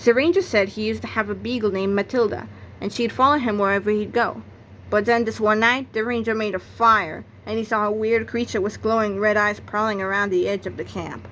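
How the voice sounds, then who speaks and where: A woman's voice: medium pitch, fairly even intonation, a little fast, a bit bright, slight background noise, poor audio. A woman narrating a read-aloud of a children's comic novel, acting out its exclamations and sound effects. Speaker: book narrator.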